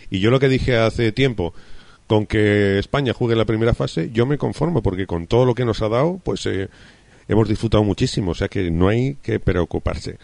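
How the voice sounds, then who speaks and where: A man's voice: on the low side, moderate pace, gravelly, darkish tone, no room sound. A man with an accent from Spain, hosting a casual radio football discussion in an effusive, colloquial way.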